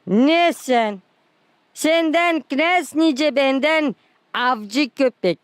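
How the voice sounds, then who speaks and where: A woman voicing a cheeky, teasing youngster in an animated cartoon. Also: high voice, extremely slow, dry recording, heavily acted.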